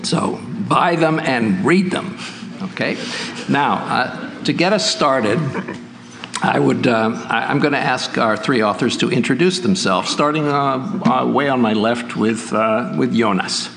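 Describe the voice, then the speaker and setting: A man's voice: mid-range voice, measured pace, vocal fry, fairly bright tone, a little hiss or hum. A man moderating a book festival author panel, speaking with frequent fillers and restarts. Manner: hesitant, many uh fillers and restarts.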